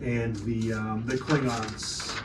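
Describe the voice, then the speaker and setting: A man's voice: fairly low pitch, level tone, slow pace. A man improvising casual narration as the game master of a roleplaying game. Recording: roomy, distant, noisy mic.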